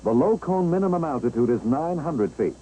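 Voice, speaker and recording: An American man narrating a 1960s instructional film, explaining step by step in a measured, clear way. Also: on the high side, animated, dark tone, poor audio. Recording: old 1960s film soundtrack, engine-like background noise.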